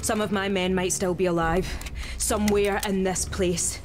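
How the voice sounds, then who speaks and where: An actress playing a weary female resistance fighter in a video game, speaking in an urgent, measured way. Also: medium pitch, flat delivery, lightly acted. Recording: game audio under music.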